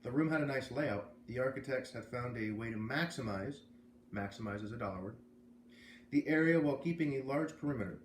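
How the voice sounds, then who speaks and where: A man's voice: mid-range voice, a little fast. A man with an American accent, hosting a read-aloud of a children's novel as a storyteller. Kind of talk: reading a children's novel aloud, with casual asides. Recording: roomy echo, faint background noise.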